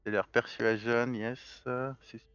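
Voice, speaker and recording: A man's voice: mid-range voice, level tone, measured pace, a bit bright, clean tone, no echo, highs cut off, soft background music. A man with a mixed French, Spanish and Galician accent, giving let's play commentary and explaining his choices step by step as he thinks aloud. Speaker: gaming channel host.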